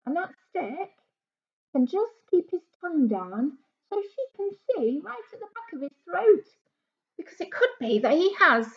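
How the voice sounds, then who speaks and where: Female British primary teacher, voice on the high side, reading and explaining a picture book to young kids; slightly dull, lo-fi sound. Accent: British English. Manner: explanatory, speaking simply as to young children. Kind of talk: children's story reading with simple explanations.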